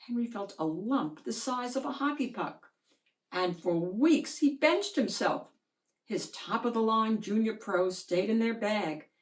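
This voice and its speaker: A woman reading a children's picture book aloud in an expressive, deliberate storytelling manner, drawing out words. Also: lowish voice.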